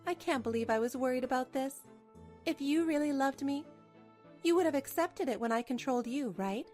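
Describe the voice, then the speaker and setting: A woman's voice: sits high, expressive, a little fast, darkish tone, faint music behind. A woman voicing a betrayed young wife in a manga dub, cold and scornful, with a cutting, accusatory delivery. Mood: angry. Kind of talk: dubbed manga drama acting.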